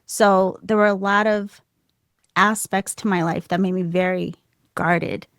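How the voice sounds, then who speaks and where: Soft-voiced woman, candid and laughing. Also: medium pitch, measured pace, clear, resonant tone, no room sound, studio quality. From United States. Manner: laughs often, animated and self-deprecating. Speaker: podcast guest. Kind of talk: candid conversational storytelling.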